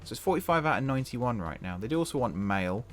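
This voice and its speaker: A man hosting a gaming channel. He chats casually over his gameplay and thinks aloud with self-deprecating humour, and his voice is croaky from a long day of talking. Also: mid-range voice, big pitch swings, fast talker. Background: background music.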